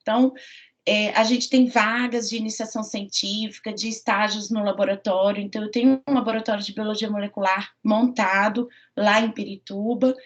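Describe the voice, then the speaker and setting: A woman's voice: medium pitch, fairly even intonation, a little fast. A middle-aged woman with a Minas Gerais accent, a university professor giving an online lecture in a friendly way, full of fillers and tag questions. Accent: Minas Gerais Portuguese. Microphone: computer mic on a video call. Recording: dull lo-fi video call audio.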